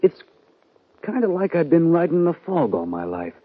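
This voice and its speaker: A man's voice: a little high, big pitch swings, normal speed, breathy edge, no room sound. An actor playing an earnest, regretful young cowboy on the run in a 1950s radio western, plain and folksy in his talk. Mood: tender, wistful. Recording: dull, lo-fi 1950 radio recording.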